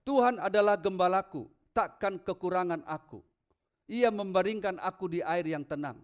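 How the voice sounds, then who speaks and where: High-pitched man preaching a sermon. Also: moderate pace. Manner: rhetorical questions to the congregation; emphatic, swings from low asides to loud proclamation. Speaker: pastor preaching. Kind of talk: sermon with scripture readings.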